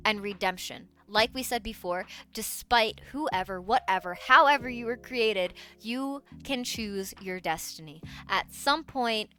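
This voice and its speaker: A woman streamer chats loosely on a livestream, circling back and restarting her sentences. Her voice is bright and sits at a middle pitch. Faint music plays underneath. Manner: rambling, self-interrupting, restarts a lot. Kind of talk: casual livestream chat.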